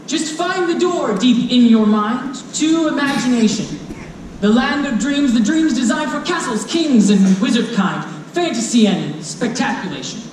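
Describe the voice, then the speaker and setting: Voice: high-pitched, expressive, a little slow, darkish tone. A storyteller reading rhyming children's fantasy to a live audience in a dramatic, playful way, speaking directly to listeners. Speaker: storyteller presenting a children's book. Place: convention stage before a live audience. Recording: echoey live hall, distant mic, faint noise.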